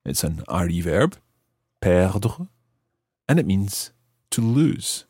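Animated, husky-voiced male language teacher. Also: deep voice, no echo, clean studio sound. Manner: explanatory, repeats phrases slowly and deliberately. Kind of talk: scripted language lesson narration.

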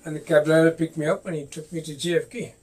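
An older man with a Guyanese accent and a slightly high voice. He tells stories from his youth in a relaxed chat with his son and laughs often as he talks. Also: fast talker. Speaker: guest, host's father. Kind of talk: storytelling in casual chat.

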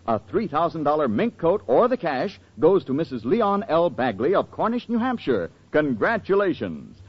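A man's voice: a little high, husky. An American man reading scripted announcements in 1940s radio style. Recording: dull lo-fi old radio broadcast.

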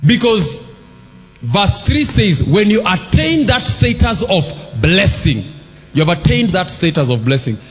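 A man preaching a sermon, emphatic, rising to shouts and dropping low for asides. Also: sing-song, measured pace. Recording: live music under a long hall reverb.

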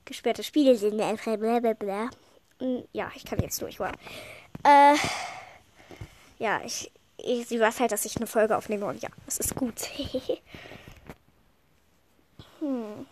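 A woman's voice: a little high, slow, long pauses, darkish tone, slight background noise. A woman with a head cold giving casual, rambling commentary while she plays a game, sniffling and chatting with lots of fillers. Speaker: gameplay commentator.

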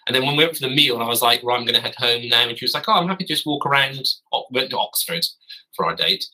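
Guy, 30s, podcast guest on a call from his garden office; husky, forceful tone, meandering and self-correcting. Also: medium pitch, animated, quick pace, rough recording. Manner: rambling, self-correcting, wry. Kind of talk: casual storytelling.

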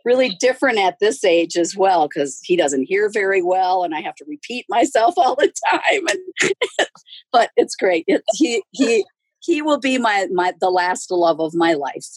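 A chatty woman in her sixties tells stories in an easy, casual way and laughs often. Her voice is husky and a little breathy, at a middle pitch. The sound is close and dry, with almost no room echo. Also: big pitch swings, unhurried. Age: 60s. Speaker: podcast guest. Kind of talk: casual interview chat.

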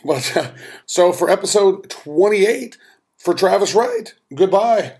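Slightly hoarse, jokey male host. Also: high voice, expressive, slow pace, a little mellow. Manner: jokey, playful. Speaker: podcast co-host. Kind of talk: casual chat.

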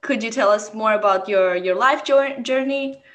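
A woman's voice: fairly high pitch, normal speed, fairly bright tone. A woman hosting a podcast, an attentive and encouraging interviewer. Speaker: podcast host. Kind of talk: casual interview chat.

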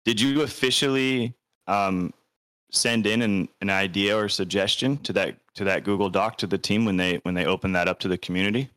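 Deep-voiced male co-host, dry sound. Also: fairly quick, fairly bright tone, clean tone, no echo. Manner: eager, polite questioning. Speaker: podcast co-host. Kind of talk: casual chat.

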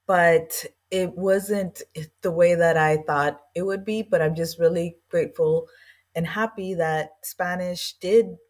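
A woman's voice: fairly low pitch, a little mellow. A woman from East Los Angeles, a guest on an interview podcast, telling her story in an earnest, reflective and loosely chatty way. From East Los Angeles, USA. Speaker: guest, language school founder.

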